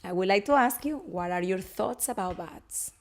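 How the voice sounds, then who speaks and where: A woman's voice: mid-range voice, animated, moderate pace. Polite Colombian-accented woman interviewer. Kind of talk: interview questions.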